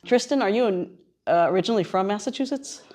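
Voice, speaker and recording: A woman's voice: medium pitch, lively intonation, quick pace, darkish tone. A woman hosting a radio interview on location in a conversational way. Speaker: radio show host. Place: on location at a seminary.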